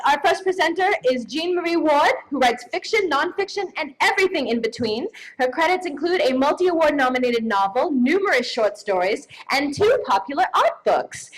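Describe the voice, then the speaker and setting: Woman putting on a high-pitched, lively showbiz hostess. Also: moderate pace, few pauses, poor audio. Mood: brisk showbiz. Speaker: awards show host. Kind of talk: comic live stage presenting, reading introductions.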